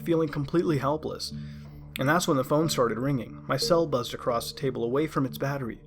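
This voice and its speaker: A man tells a creepypasta in the first person over music. His mid-pitched voice is conversational and dramatic, breaking into halting asides as he goes. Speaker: creepypasta narrator.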